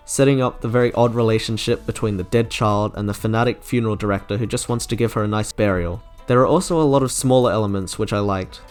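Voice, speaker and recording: Young man narrating over music. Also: medium pitch. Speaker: video game reviewer. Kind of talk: scripted review commentary. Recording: background music under narration.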